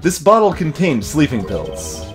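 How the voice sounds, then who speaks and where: A man voicing an earnest, persistent young male defense lawyer, reading video game dialogue in a measured, insistent way. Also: on the high side, huge pitch swings, music in the background. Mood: earnest, pressing.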